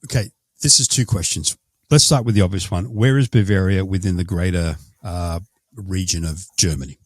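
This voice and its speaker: A man's voice: low-pitched, moderate pace, breathy edge, no room sound. A man hosting a travel podcast, asking chatty questions and often correcting himself as he goes. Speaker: podcast host. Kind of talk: casual interview.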